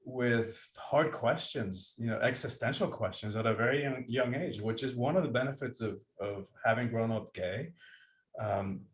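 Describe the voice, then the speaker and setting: An earnest, reflective man from Cuba talks through his ideas on a video call. He pauses and restarts as he searches for words. His voice rings with a roomy echo at a middling pitch. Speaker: guest author and breathwork teacher. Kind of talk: conversational interview. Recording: echoey room sound.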